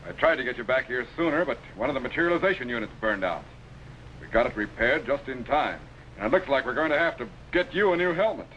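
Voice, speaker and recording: A man playing a brisk, authoritative scientist captain in 1950s television science fiction, instructing in a crisp and kindly way. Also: mid-range voice, expressive, a bit bright, lightly acted. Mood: brisk, commanding. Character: commanding, brisk male scientist captain of a time machine. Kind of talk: 1950s television sci-fi acting. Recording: slightly noisy old 1950s TV soundtrack.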